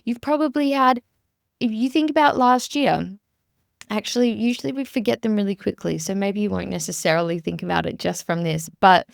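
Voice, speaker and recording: A woman's voice: medium pitch, brisk, creaky, no echo, studio quality. Earnest Australian woman, chatty solo podcast. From rural South Australia. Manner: chatty and earnest, many fillers and restarts. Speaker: podcast host and business coach. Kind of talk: casual solo podcast monologue.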